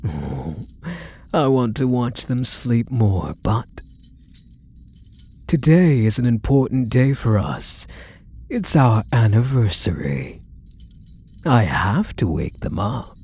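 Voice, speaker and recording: A man playing a possessive, doting kidnapper obsessively in love, speaking in soft, coaxing, condescending endearments for an intimate ASMR roleplay. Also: lowish voice, slight background noise, heavily acted. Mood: doting, affectionate.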